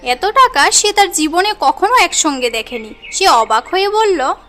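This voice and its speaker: A woman's voice: extremely high pitch, lively intonation, unhurried, sharp tone, faint music behind. A woman reading scripted storybook narration for a cartoon tale.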